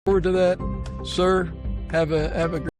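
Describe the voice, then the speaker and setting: A man hosting a conversational podcast, with halting, gentle phrasing. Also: sits high, little pitch movement, soft background music. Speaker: podcast host. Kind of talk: conversational interview and informal prayer.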